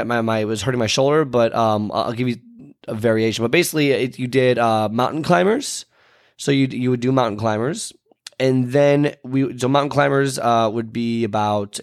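A man with a stuffy, congested voice hosts a fitness show. He rambles casually, stopping and restarting with plenty of fillers as he recalls details. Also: medium pitch. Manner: loose and chatty, with many fillers and restarts. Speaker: fitness podcast host.

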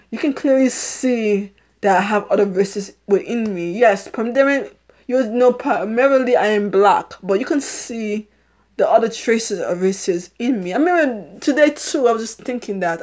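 A middle-aged woman from Haiti hosts her own podcast, with an accent that sounds British rather than Haitian. Her voice sits a little high. She rambles, circles back over the same points and laughs often. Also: moderate pace, a little mellow. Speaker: podcast host. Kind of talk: casual solo podcast monologue.

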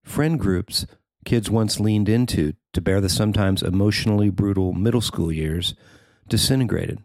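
Male narrator, middle-aged, deep and gravelly, reading a personal feature in a very dry recording. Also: normal speed, darkish tone, dry recording. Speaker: writer and narrator.

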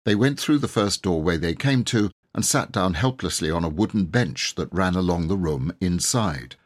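A man narrating a children's audiobook in a measured, expressive storytelling manner. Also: low-pitched.

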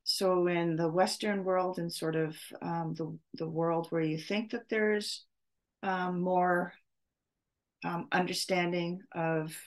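Middle-aged female podcast host, low register, slow and hesitant, unscripted reflection. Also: steady tone. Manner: halting, searching for words, with long pauses. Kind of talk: off-the-cuff spoken reflection.

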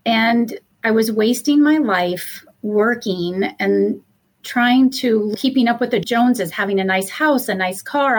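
A heartfelt woman in her fifties, guesting on a podcast as a coach for mothers. Her voice sits a little high for a woman. She talks casually and sincerely, dropping in plenty of "you know". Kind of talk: casual interview talk.

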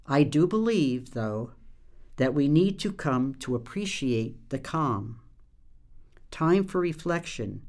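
A woman in her seventies telling her life story in a measured, reflective way. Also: very deep voice, a little mellow. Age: 70s. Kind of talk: personal storytelling.

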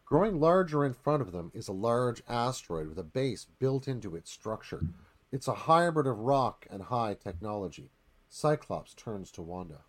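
A man's voice: medium pitch, sing-song, moderate pace. A man reading stage directions as scripted narration for a live radio play.

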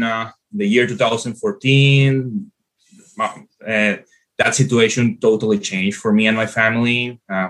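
Halting Venezuelan man with bright voice. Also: fairly low pitch. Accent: Venezuelan Spanish. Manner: halting, many fillers and restarts. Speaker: guest. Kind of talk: podcast interview answer.

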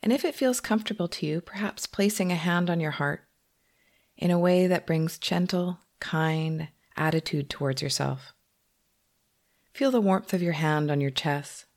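Woman meditation teacher, kinda low voice, gentle, takes her time w long pauses between phrases. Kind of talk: guided meditation.

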